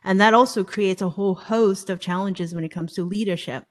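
A woman's voice: medium pitch, fairly even intonation, brisk. A woman from Hong Kong, interviewing a guest, speaking in an earnest, reflective way with long connected thoughts. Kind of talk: conversational interview.

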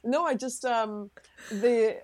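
A woman's voice: on the high side, slow, darkish tone, dry recording. A woman being interviewed as a guest, talking casually in a rambling way, full of fillers and restarts. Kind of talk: casual chat.

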